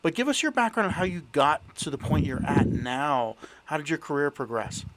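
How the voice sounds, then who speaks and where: A man's voice: a little high, sing-song, moderate pace, a bit bright, slight background noise, rough recording. A man hosting an interview podcast, talking casually with many fillers and restarts. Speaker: podcast host. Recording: voice over background music.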